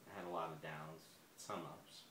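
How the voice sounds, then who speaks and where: A man in his forties being interviewed, talking in a hesitant, soft-spoken way with many fillers and restarts. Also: very deep voice, flat delivery, normal speed. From United States. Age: 40s. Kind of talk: personal interview. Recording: echoey room, faint ambient noise.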